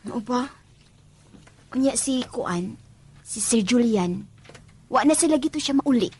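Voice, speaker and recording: An actress playing a nosy, gossipy housemaid in a radio drama, with a Visayan Filipino accent and teasing manner. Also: female voice, fairly high pitch, expressive, a little slow, a little mellow, slightly rough, full character voice.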